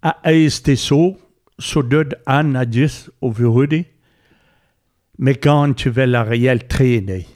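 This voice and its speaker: A man's voice: medium pitch, slow pace, darkish tone. A man chatting casually in conversation.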